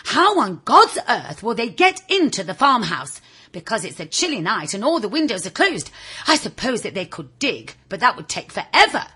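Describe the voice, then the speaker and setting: A woman voicing a brave but anxious male rat in a children's storybook, musing to himself in a raspy voice. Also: a little high, big pitch swings, normal speed, sharp tone, exaggerated voice.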